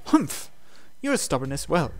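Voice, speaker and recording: Higher-pitched man narrating a game playthrough. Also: sing-song, a little slow, faint music behind. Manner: reads prose aloud, breaks into incredulous asides. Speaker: gamer reading a visual novel aloud.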